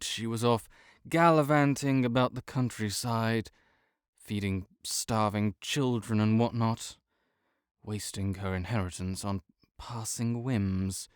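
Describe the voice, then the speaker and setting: A man's voice: mid-range voice, clean tone, dry recording, studio quality. A man playing a proud, sardonic invalid nobleman in an audio roleplay, speaking with disdainful, formal, old-fashioned diction. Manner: haughty, archaic formal diction, disdainful drawl. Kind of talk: scripted audio roleplay monologue.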